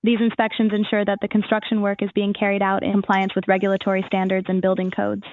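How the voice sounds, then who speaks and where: A woman's voice: medium pitch, completely flat delivery, fast talker, dry recording, narrow, tinny audio. A woman's voice as an AI assistant, giving scripted, formal informational answers in a customer-service manner.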